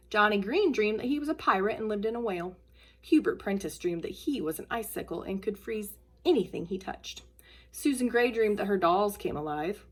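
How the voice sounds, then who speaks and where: Woman narrator for children's storybook read-aloud, expressive, highly varied pitch, a touch of huskiness.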